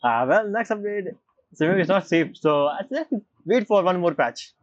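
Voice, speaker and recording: Low-voiced, animated woman game streamer. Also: moderate pace, no room sound. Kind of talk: casual reaction commentary over gameplay. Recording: slightly muffled, game music behind.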